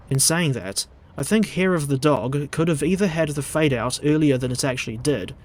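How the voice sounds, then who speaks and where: Measured New Zealand man narrating. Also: on the high side, a little hiss or hum. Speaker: video essay narrator. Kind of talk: scripted narration.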